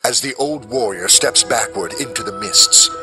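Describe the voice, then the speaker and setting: A man's voice: medium pitch, moderate pace. A man reading scripted, dramatic narration as the narrator of an audio drama. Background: music.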